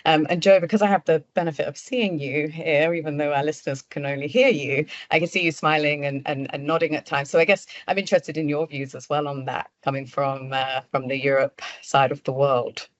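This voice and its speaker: A podcast host frames questions for guests in a loose, filler-heavy way, with a middling pitch. The sound is dry and close but a little dull. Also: fairly even intonation, brisk, hardly any pauses, no room sound. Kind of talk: conversational podcast interview.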